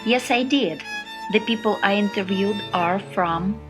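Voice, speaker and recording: A woman's voice: mid-range voice, measured pace, over music. A Russian-speaking woman guesting on a podcast and talking cheerfully, with laughs. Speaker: guest interviewer.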